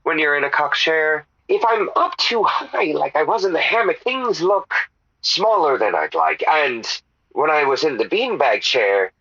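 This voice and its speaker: A man's voice: fairly high pitch, big pitch swings, measured pace, bright, forceful tone, breathy edge, no echo, highs cut off, heavily acted. A man playing a pompous, randy caller to a radio advice show in a comedy audio drama, savouring his words theatrically. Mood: smug, savouring.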